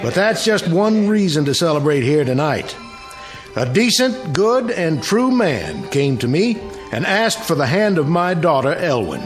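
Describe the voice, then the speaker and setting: A man's voice: fairly high pitch, very animated, a little slow. A man playing a proud, hospitable middle-aged farmer father with an Appalachian accent, making a hearty speech in a radio drama. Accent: Southern US Appalachian. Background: music.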